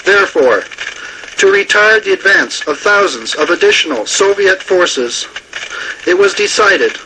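A man playing a grave government defense spokesman, reading a formal, measured official announcement on the radio. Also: high-pitched, pushed and bright, exaggerated voice. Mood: grave, resolute. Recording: noisy radio broadcast.

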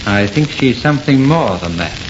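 Actor as a resolute investigator, muffled old radio. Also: male voice, mid-range voice, lively intonation, moderate pace, background noise, natural voice. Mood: grave. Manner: decisive, serious. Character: resolute, grave male investigator of the uncanny. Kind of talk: 1930s radio horror acting.

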